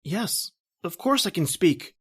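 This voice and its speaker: Woman playing panicked guy lost in a nightmare, halting n theatrical, old horror radio drama style. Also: lowish voice, very animated, breathy edge, heavily acted. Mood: uneasy, insistent. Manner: halting, anguished, theatrical delivery. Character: panicked, bewildered working husband lost in a nightmare. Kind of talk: dramatic first-person horror radio narration and acting.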